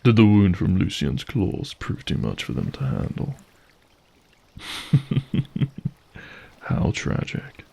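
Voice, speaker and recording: Give a husky, low-pitched monster host played by a man for an audio drama. He is mockingly courteous and menacing, taking his time over each phrase in a dark, gravelly murmur. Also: huge pitch swings, heavily acted. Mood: gloating. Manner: theatrical, mock-courteous, deliberate. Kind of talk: scripted audio drama acting.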